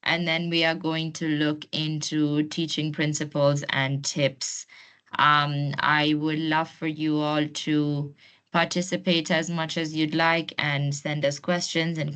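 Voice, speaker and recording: Woman running an online workshop, lowish voice, takes it slow, keeps inviting people to join in. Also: a bit bright, clear, resonant tone. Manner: encouraging, inviting participation. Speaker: host and trainer of an online masterclass. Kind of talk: informal webinar presenting to participants. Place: online video call.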